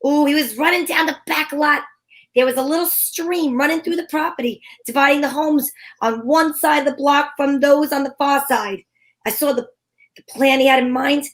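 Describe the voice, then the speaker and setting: Radio detective heroine, woman acting: chatty, slangy, wisecracking narration in a high female voice. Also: moderate pace, close to an everyday voice. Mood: wry, narrating.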